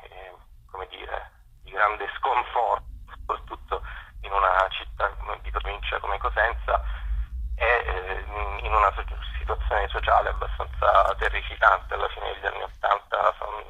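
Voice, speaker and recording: Man, low pitch, hesitant interviewee, searching for words, dull lo-fi phone-in sound. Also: gravelly, bright, forceful tone, slightly rough. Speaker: radio interview guest from a community radio station. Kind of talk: unscripted interview answers.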